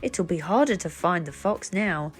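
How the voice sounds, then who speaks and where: A woman voicing a kindly, patient man who keeps a workshop in a children's storybook, gentle and reassuring as he calls out. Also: lowish voice, animated, moderate pace, faint music behind, close to an everyday voice. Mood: kindly, encouraging.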